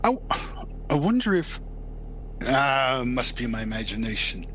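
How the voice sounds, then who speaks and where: A man's voice: mid-range voice, sing-song, unhurried, fairly bright tone. A man playing a nervous, reluctant working-class morgue attendant in an old-time radio drama, colloquial and stammering. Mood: uneasy. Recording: noisy, humming background.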